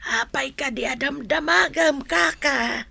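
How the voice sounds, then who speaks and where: Gravelly, defensive mother. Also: high voice, unhurried, bright, forceful tone, no echo, heavily acted. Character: defensive mother standing up for her child. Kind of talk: Ilocano radio drama acting.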